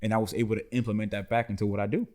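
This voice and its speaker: A man's voice: lowish voice, little pitch movement, racing, a little mellow. A man in his twenties, from the Dominican Republic, telling his life story in an earnest, slangy way as a podcast guest. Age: 20s. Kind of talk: casual interview chat.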